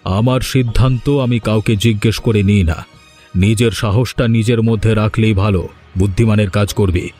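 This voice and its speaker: A man playing a proud, domineering village landlord in a cartoon drama, speaking with deliberate authority. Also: lowish voice, steady tone, a little mellow, faint music behind, natural voice. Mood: stern, threatening. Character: stern, domineering village landlord, male, proud but remorseful. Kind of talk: Bengali cartoon drama dialogue.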